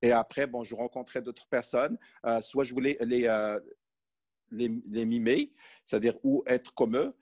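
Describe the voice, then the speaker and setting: A man's voice: mid-range voice, fairly even intonation, a little slow, a little mellow. A Moroccan-born man in his forties, a podcast guest talking about his life in a hesitant, conversational way with many fillers. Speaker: podcast guest, sales manager. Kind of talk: conversational interview.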